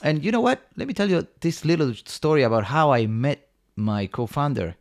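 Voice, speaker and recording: A man's voice: on the high side, expressive, normal speed. Man telling his story. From Argentina. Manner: eager, rambling, many fillers and restarts. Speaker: company co-founder. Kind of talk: casual storytelling in an interview.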